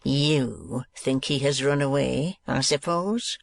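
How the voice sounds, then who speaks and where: A man voicing a cruel, blustering middle-aged schoolmaster who sneers and bullies in a slow, menacing way. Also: medium pitch, vocal fry. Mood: sneering. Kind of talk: audiobook character voice.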